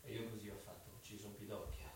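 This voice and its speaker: Tormented young murderer in a cavernous echo actor. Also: male voice, on the low side, level tone, very fast, lightly acted. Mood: bleak confession. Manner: intense, obsessively repeating phrases. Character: tormented, guilt-ridden young man confessing a murder. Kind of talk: Italian radio drama acting. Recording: echoey, distant, noisy hiss with clicks.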